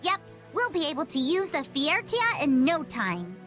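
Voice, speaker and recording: A woman's voice: sits high, big pitch swings, measured pace, fairly bright tone, faint music behind, full character voice. A woman voicing a spirited, bossy young girl in a video game, cocky and playful. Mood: excited.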